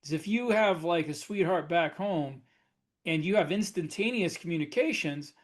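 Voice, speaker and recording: A man's voice: fairly high pitch, normal speed. A man co-hosting a podcast panel, chatting casually with blunt, wry remarks. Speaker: podcast co-host, author.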